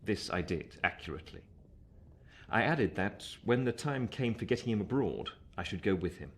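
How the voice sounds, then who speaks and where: An actor playing an earnest, reflective gentleman narrating his own story in measured, literary style for a radio play. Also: fairly low pitch, animated. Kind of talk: scripted first-person radio play narration.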